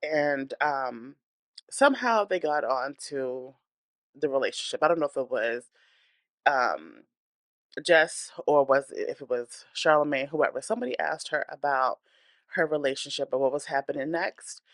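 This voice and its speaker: A woman's voice: a bass voice, lively intonation, normal speed, no room sound, clean studio sound. A woman hosting a celebrity gossip channel, speaking in a blunt, opinionated, chatty way while congested from a cold and breathing hard. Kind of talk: casual celebrity gossip commentary.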